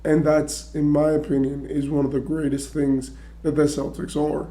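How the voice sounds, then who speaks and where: A man whose voice is worn and hoarse, clearing his throat as he goes. His tone is very dark and muffled and stays mostly flat, though it sits a little high for a man. He talks casually, thinking out loud with lots of filler checks. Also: moderate pace. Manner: chatty, thinking aloud, many "right?" and "you know". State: losing his voice. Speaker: sports commentary YouTuber. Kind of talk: casual basketball trade commentary.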